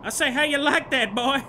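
Sharp, taunting prison guard. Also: male voice, extremely high pitch, a little hiss or hum, exaggerated voice. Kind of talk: pulp audio drama acting.

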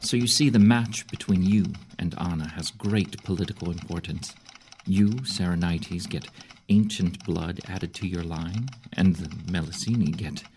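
Deep-voiced commanding Roman general. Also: male voice, a little slow, a little mellow, slight background noise. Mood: brisk, probing. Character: older Roman general, commanding yet kindly and shrewd. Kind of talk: audio drama acting.

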